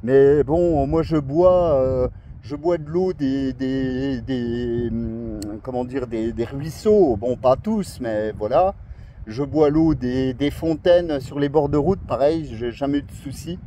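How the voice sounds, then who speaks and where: A man's voice: medium pitch, takes it slowly, warm tone, slight background noise. A man chatting casually and enthusiastically outdoors as an amateur radio operator, in a friendly way, sometimes searching for his words. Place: outdoors at a scenic coastal spot.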